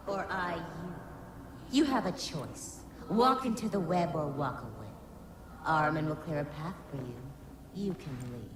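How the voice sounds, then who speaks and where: Slow, echoing, taunting villainess. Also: female voice, low-pitched, lively intonation, noisy background. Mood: mocking, seductive. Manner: mocking, slow and deliberate. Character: taunting seductive villainess, cruel and commanding. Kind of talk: dramatic video game acting. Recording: echoing voice amid loud game ambience.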